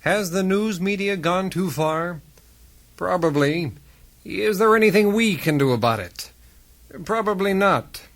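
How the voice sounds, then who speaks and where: Casting: actor as earnest male news anchor for radio satire, highish voice, formal and measured, exasperated underneath. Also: lively intonation, a bit bright, exaggerated voice. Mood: solemn sign-off. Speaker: host of a parody news broadcast. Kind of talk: satirical radio theater, broadcast interviewing.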